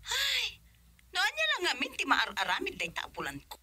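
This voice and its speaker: A woman's voice: very high-pitched, huge pitch swings, moderate pace, sharp tone, dry recording, full character voice. A woman with a Filipino accent acting a suspicious, nagging wife in a radio drama. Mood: suspicious, prying. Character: suspicious nagging wife questioning her husband.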